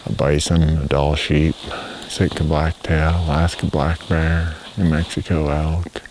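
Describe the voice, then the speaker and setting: A man's voice: a bass voice, flat delivery, unhurried, noisy background. A man in his fifties hosting a hunting trip, chatting wryly on a snowy mountain trail and dropping to a whisper near game. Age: 50s. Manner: easygoing, wry, drops to a whisper near game. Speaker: hunting show host. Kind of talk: casual trail talk on a hunt. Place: snowy mountain forest outdoors.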